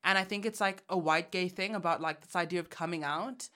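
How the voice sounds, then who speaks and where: A woman's voice: lowish voice, brisk, bright, forceful tone. A woman from Uganda, co-hosting a podcast in casual chat, talking candidly and thinking aloud with fillers and restarts. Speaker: podcast co-host.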